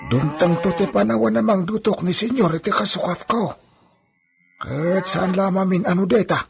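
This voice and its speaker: A man's voice: high voice, moderate pace, gravelly, over music. An actor playing a bossy, scornful old hacienda foreman in an Ilocano radio drama. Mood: brooding.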